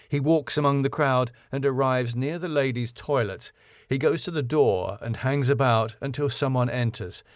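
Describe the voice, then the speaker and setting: A man narrates a comedy script, reading out scene headings and stage directions. His voice is mid-pitched, and the recording is very dry with no room sound. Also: no echo.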